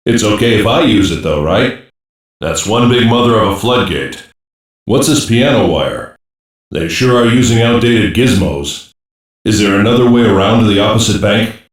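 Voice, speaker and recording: A man playing a gruff, wisecracking special-operations cyborg soldier in an anime dub, blunt and sardonic with slangy delivery. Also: on the low side, lively intonation, normal speed, very echoey, distant mic, natural voice. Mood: wry, casual. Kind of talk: English-dub anime voice lines.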